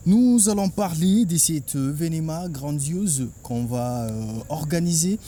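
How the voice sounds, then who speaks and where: Man, sports host, very dark voice, outdoor stadium, faint insect noise. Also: on the high side, expressive, unhurried. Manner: hesitant, many fillers. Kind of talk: live sports interview.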